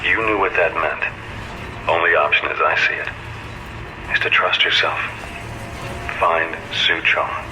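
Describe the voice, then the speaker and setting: An actor playing a weary, blunt, gruff man in a video game, terse and measured, with noise around him. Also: sits low, steady tone. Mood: grave, firm. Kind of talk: dramatic video game voice acting. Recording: clear background noise and ambience.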